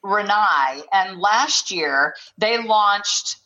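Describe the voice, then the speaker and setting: Bright-voiced, chatty woman guest. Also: medium pitch, lively intonation, drawn-out words, lo-fi sound. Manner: chatty, warm, with fillers and small laughs. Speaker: trade show presenter, guest. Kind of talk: conversational interview.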